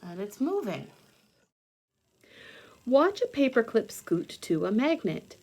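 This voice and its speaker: A woman's voice: a little high, sing-song, slow pace, darkish tone, slightly rough. A woman giving a hands-on read-aloud lesson for young children, explaining simply and step by step as she thinks aloud. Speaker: children's teacher reading aloud and demonstrating. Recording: noticeable background noise, homemade video.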